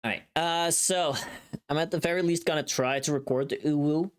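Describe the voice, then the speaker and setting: A streamer with a medium-pitched voice chats casually, rambling and thinking aloud. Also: normal speed, clear, resonant tone. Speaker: live streamer. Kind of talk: casual livestream chat.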